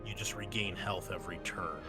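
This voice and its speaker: A man's voice: low-pitched, steady tone, a little fast, pushed and bright. A man running a tabletop roleplaying game as game master, conversationally explaining rules and somewhat hesitantly correcting himself. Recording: background music under the voice.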